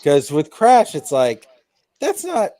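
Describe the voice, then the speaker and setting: A man's voice: a little high, wildly swooping pitch, slow pace, warm tone, dry recording. A man in a casual gaming chat, talking in a bombastic, emphatic and profane way.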